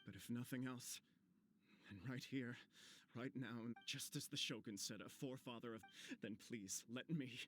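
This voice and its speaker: A man's voice: medium pitch, normal speed, lightly acted. A man playing an earnest, proud young nobleman of a disgraced warrior clan in a video game, formal and resolute. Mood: resolute, solemn.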